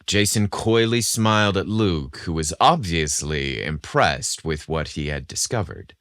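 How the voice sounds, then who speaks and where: A man reading a scripted story as a podcast host, in a measured, deliberate manner. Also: deep voice, lively intonation, a bit bright, no echo.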